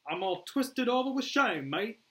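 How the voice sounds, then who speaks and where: A man's voice: very high-pitched, big pitch swings, normal speed, bright, forceful tone, pitched above the performer's own voice, heavily acted. A man voicing a cheeky, chummy Australian crook with a put-on Australian accent. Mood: cheeky, sarcastic. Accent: attempted Australian.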